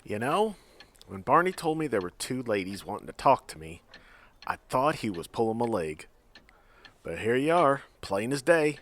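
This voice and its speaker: An actor plays a frontier mining boss in an audio drama. His mid-pitched voice swings up and down with lively, folksy color, skeptical and slightly patronizing but jovial. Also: normal speed. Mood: skeptical, amused. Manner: folksy, animated, condescending.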